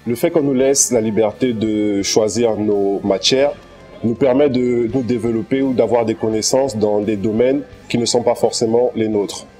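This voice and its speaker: Young male student, Central African accent, lowish warm voice, interview testimonial with soft guitar underneath. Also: fairly even intonation, normal speed. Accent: Central African French. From Cameroon, of Congolese descent. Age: young adult. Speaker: accounting student. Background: guitar music.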